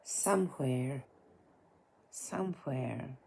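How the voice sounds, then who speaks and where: Woman as a soft, wistful, hoarse orphan girl. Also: extremely low pitch, drawn-out words, a little hiss or hum, heavily acted. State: hoarse, tired. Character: hopeful, tender orphan girl in a storybook.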